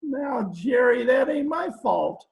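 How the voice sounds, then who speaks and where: A man playing a dim, bumbling henchman in a 1940s-style radio comedy, croaky, whiny and slangy. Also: very high voice, lively intonation, raised voice, muffled recording, full character voice. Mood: defensive, dopey. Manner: slow-witted, whiny, slangy, ungrammatical. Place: remote video-call performance.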